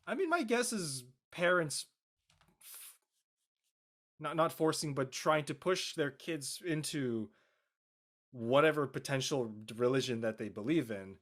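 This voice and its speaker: A man, an actor on a live show, talking casually about his character and haltingly searching for his words. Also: on the high side, animated, fairly bright tone. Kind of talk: introducing his character, casual panel talk.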